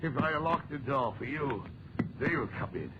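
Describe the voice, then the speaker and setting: An actor playing an obsessed, feverish man in a 1940s radio horror play, halting and repeating his words. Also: medium pitch, animated, lo-fi sound, close to an everyday voice. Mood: agitated. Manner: halting, muttering, repeats words, bursts into defiant shouting. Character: obsessed, feverish man chiselling at an ancient statue. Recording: noisy band-limited 1940s radio recording.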